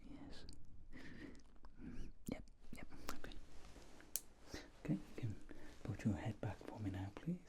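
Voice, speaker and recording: A man speaking in a soft, breathy whisper, gently playing a polite, reassuring ear examiner in an ASMR roleplay. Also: on the low side, big pitch swings, measured pace, pauses often.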